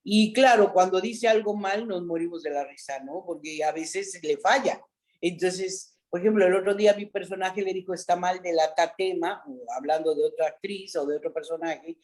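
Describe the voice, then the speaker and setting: A middle-aged woman with a Mexican accent, an actress chatting as an interview guest, telling stories in an animated way and often adding a questioning "¿no?". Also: lowish voice, moderate pace. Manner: animated storyteller, frequent "¿no?" tags. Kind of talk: casual interview chat.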